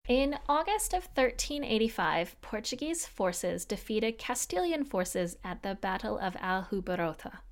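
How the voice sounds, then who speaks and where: A woman's voice: medium pitch, big pitch swings, a little slow, hardly any pauses. A woman hosting a history podcast, reading scripted narration in an explanatory way with casual, lightly wry asides.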